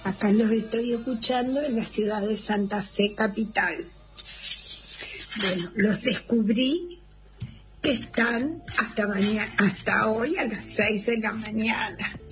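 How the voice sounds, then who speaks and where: An elderly Argentine woman in her eighties leaving a chatty, affectionate, unhurried personal message for a radio show. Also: mid-range voice, over music.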